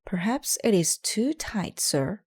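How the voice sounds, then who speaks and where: A courteous female pterodactyl officer from a storybook, performed by a woman reader. She is helpful and matter-of-fact, with a raised pitch and unhurried pace. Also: very animated, darkish tone, slightly rough, full character voice. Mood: patient, reasoning.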